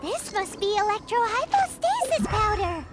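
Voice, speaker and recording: Tiny floating fairy, video game, very high squeaky voice, lively pitch, perky, cheeky, loud music underneath. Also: female voice, moderate pace. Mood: curious, upbeat. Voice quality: squeaky, childlike. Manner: perky, refers to self in third person. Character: tiny chirpy floating fairy companion, childlike, cheeky, quick to forgive.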